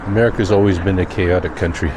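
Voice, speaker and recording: A man with a fairly low voice shares unscripted thoughts for a daily vlog. He rambles reflectively, pausing to find his words. Clear vehicle and outdoor noise runs behind him. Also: steady tone. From Canada. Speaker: daily vlogger. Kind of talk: unscripted personal vlog monologue. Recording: noisy outdoor recording with vehicle sounds.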